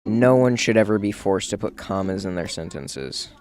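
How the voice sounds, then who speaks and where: A man's voice: lowish voice, animated, normal speed, a little mellow. A male streamer chatting casually while building in a game, muttering to himself and calling his viewers "bro".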